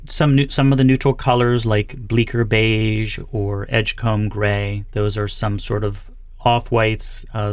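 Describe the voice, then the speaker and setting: A man's voice: fairly low pitch, no room sound. A man who is a master painter, a guest on a radio show in a studio, speaking plainly with some hesitation. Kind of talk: radio interview.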